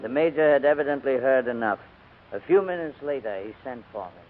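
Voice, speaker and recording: Actor as a dignified old gentleman, tinny vintage radio. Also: mid-range voice, lively intonation, brisk, loud music behind the voice, lightly acted. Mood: reflective. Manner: restrained, dignified, earnest. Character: dignified, stubborn old English gentleman held prisoner. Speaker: actor and narrator in a radio drama. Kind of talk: 1940s radio drama acting. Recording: thin, phone-like 1940s radio recording, hiss.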